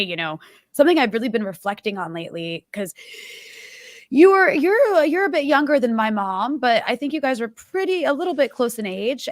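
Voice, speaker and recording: A woman's voice: on the high side, dry recording, studio quality. A woman in her thirties hosting an interview, talking in an emphatic, impassioned way. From United States. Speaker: host.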